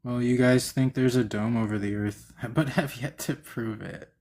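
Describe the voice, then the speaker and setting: A man's voice: mid-range voice, fairly even intonation, normal speed, darkish tone. A man hosting a live stream, debating and chatting casually in a slangy, argumentative way.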